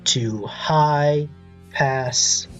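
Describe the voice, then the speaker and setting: A man presenting a step-by-step software tutorial in a casual, instructional way. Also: on the high side, very slow, soft background music, low-quality recording.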